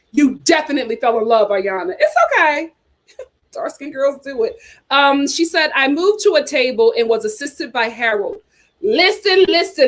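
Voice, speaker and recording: A woman with an American accent and a high voice hosts a casual chat. Her pitch swings up and down in a lively way as she reads aloud and comments. She drops in playful, teasing asides as she goes. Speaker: host of a reality TV chat show.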